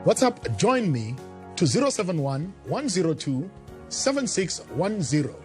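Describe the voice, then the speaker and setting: A man's voice: high-pitched, big pitch swings, moderate pace. A man reading an advert voice-over, persuasive and emphatic. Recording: music bed under voice.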